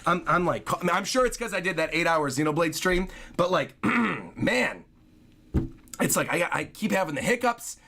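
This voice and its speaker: A man chats casually on a livestream, his voice hoarse and scratchy from overuse. He sits fairly high for a male voice, thanks viewers one after another and keeps apologizing. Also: animated, normal speed, pushed and bright. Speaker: streamer reading viewer donations.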